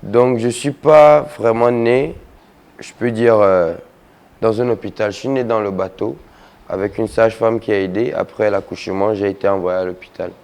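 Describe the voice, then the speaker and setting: Low, mellow young man answering hesitantly. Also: fairly even intonation. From Togo. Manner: hesitant, halting with fillers. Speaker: guest rapper. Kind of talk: casual interview answers.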